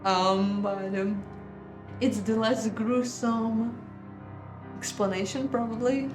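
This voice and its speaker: A woman hosting a game playthrough, reading a visual novel aloud in a measured dramatic way with casual, hesitant asides. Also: fairly high pitch, steady tone. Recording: roomy echo, music behind.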